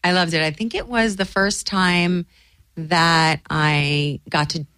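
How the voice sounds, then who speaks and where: A woman's voice: fairly low pitch, fairly even intonation, slow, fairly bright tone, clean tone, no echo. A woman actress being interviewed, chatting in an easygoing way. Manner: chatty, easygoing, laughs readily. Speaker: actress guest. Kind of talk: casual interview chat.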